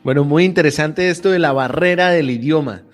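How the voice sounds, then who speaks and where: A male interviewer with a fairly high voice asks long, measured questions. Soft music runs faintly underneath. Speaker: interview host.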